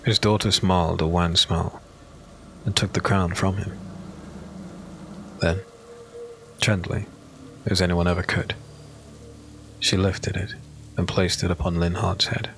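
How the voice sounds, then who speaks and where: A man giving solemn, measured, dramatic narration of a dark fantasy audiobook. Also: low voice, level tone, gravelly, a little hiss or hum. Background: music.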